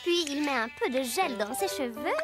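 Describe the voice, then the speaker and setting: A woman voicing a playful little girl who tells fairy tales in an expressive, teasing, childlike way for a children's cartoon. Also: high voice, normal speed. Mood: eager storytelling. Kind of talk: animated storytelling for children. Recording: music behind the voice.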